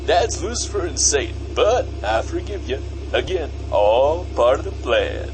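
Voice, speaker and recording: Man playing a slick, slow-drawling devil over vehicle noise. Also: a little high, very animated. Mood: patronizing, relaxed. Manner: slick, slangy, breezy. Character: smooth fast-talking devil, slangy, laid-back trickster.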